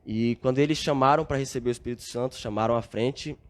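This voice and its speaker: A young Brazilian man recounts his past in an earnest, reflective tone. He speaks at an ordinary pitch and often drops small filler tags as he goes. It sounds like a relaxed podcast interview. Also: fast talker. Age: young adult. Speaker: podcast guest. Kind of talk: casual storytelling interview.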